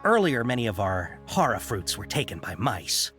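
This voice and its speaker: A man's voice: on the low side, sing-song, moderate pace, fairly bright tone. A man voicing a polite, slightly shifty young shop clerk in a video game, courteous and apologetic. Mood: courteous, businesslike. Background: game music.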